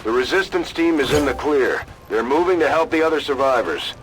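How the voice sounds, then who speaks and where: An actor playing a stern military officer in a video game, giving urgent, grave mission briefings in a commanding, clipped way. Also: male voice, medium pitch, animated, a little fast, natural voice, poor audio.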